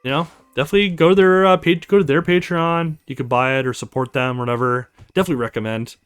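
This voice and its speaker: A man's voice: a little high, fast talker, clear, resonant tone, dry recording. A man hosting a let's play, chatting casually in rambling asides as he comments on a game. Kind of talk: casual gaming commentary and reading aloud a visual novel.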